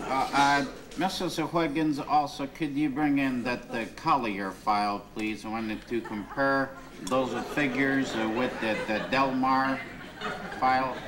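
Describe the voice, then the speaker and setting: A man playing a flustered, pompous middle-aged boss in a 1970s TV sketch, fussy and over-explaining, on an old tape with loud hiss. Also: mid-range voice, steady tone, unhurried, close to an everyday voice. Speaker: exasperated office boss. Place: TV studio office set.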